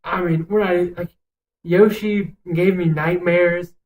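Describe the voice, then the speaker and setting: Young podcast host just chatting, sweary n emphatic, rambles thru opinions, medium pitch. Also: fairly even intonation, slow pace, a little mellow. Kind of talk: casual opinionated banter.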